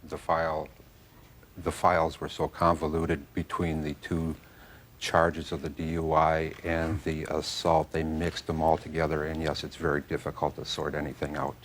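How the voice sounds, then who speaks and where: A man with a low voice answers a judge as a litigant. He is formal and deferential, yet insists on his account. There is noise behind his voice. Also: monotone, moderate pace. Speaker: litigant, defendant in a civil case. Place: indoor courtroom set.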